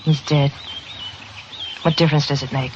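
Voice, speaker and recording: An actress playing a bleak, resigned American frontier woman in an old radio western, speaking with flat fatalism. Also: low-pitched, moderate pace, close to an everyday voice. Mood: numb. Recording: muffled old radio recording, clear hiss.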